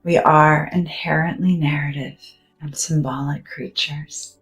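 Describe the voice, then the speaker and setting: A woman with a low, unhurried voice guides a meditation, speaking softly and soothingly with long pauses between phrases. Now and then she sinks almost to a whisper. Faint music plays quietly behind her. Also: fairly even intonation. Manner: soft, gentle and soothing, with drawn-out pauses.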